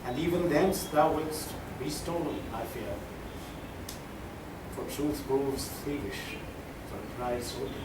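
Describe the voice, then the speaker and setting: A man reciting Shakespearean sonnets as a poetry reader, with deliberate, dramatic phrasing and pauses. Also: mid-range voice. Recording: roomy, distant, clearly noisy live recording.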